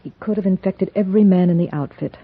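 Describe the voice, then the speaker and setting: An actress playing a weary, dutiful, determined frontier doctor in an old radio western. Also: female voice, fairly low pitch, fast talker, breathy edge. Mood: grave, urgent. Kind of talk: 1950s radio western acting. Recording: dull old radio recording.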